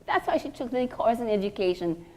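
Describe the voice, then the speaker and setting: A woman's voice: a little high, animated, a little fast, dark tone, close to an everyday voice, rough recording. A woman storyteller voicing a gossipy young woman who is chatty, emphatic and judgmental. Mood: dismissive, gossipy.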